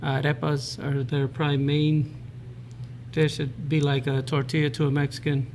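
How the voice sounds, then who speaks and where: A man's voice: fairly high pitch, level tone, moderate pace, a little mellow, slight background noise. A man from Idaho answering interview questions in short, plain sentences with restarts. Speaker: restaurant owner.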